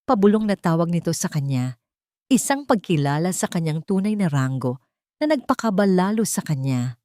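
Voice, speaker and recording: A woman's voice: on the low side, sing-song, moderate pace, warm tone, no echo, studio quality. A woman reading scripted, dramatic story narration as the narrator.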